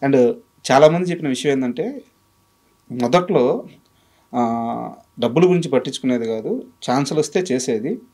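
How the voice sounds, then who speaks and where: A man's voice: medium pitch, expressive, moderate pace, pauses often, mellow. A man interviewing in a conversational, deferential way, carefully explaining himself before he puts each question.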